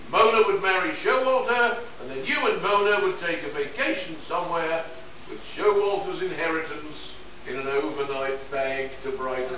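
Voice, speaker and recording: Man acting a bright, forceful pulp space detective in an echoey hall. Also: fairly high pitch. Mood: confident. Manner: theatrical, expository. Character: cocky male space detective explaining a murder. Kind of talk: pulp radio play acting. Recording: echoey hall, distant mic, faint noise.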